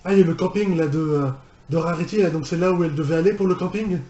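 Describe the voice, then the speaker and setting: A man's voice: fairly high pitch, fast, dark tone, muffled recording. A man giving casual, rambling reaction commentary as a video host, often repeating himself with mock exasperation. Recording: echoey room.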